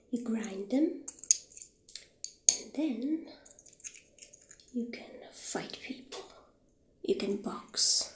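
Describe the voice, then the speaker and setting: A friendly witch shopkeeper acted out by a woman in an ASMR roleplay, speaking very slowly in a highish, soft, breathy half-whisper. The delivery is gentle and hesitant, and the room rings a little around the voice. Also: echoey room. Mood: softly admiring. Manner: gentle, unhurried, hesitant pauses. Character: friendly witch shopkeeper showing off potion ingredients.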